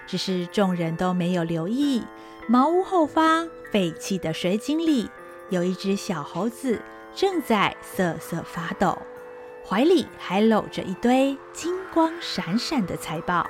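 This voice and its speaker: A woman narrating a historical radio drama in an expressive storytelling manner. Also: mid-range voice, a little slow, music in the background.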